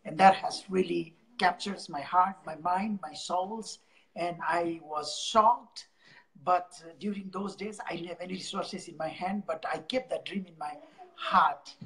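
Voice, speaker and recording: A man from Nepal with a Nepali accent, a charity founder telling his story in an interview, halting and repeating words. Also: sits high, a bit bright, poor audio.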